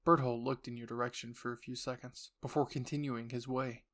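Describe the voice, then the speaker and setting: A man's voice: mid-range voice, flat delivery, brisk, a bit bright. A man reading scripted second-person story narration for a roleplay. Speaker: narrator and voice actor.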